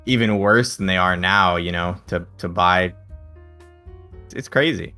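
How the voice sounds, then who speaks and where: A man's voice: low voice, a little slow, sharp tone, soft background music. A young man co-hosting a podcast in a casual, conversational discussion. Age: young adult. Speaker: podcast co-host.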